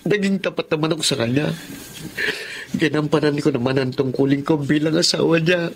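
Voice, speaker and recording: An actor playing a heartbroken, despairing husband in a radio drama, halting, pleading and tearful. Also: male voice, on the high side, slight background noise, natural voice. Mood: anguished, tearful. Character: heartbroken, despairing husband left by his wife. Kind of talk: Tagalog radio drama acting.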